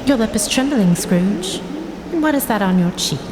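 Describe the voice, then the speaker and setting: A woman playing a solemn, commanding spirit in a radio drama, speaking in a stately, formal, deliberate way. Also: a little high, lively intonation, noisy background, close to an everyday voice. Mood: probing, solemn. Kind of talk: theatrical radio drama acting. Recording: long cavernous reverb. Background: music.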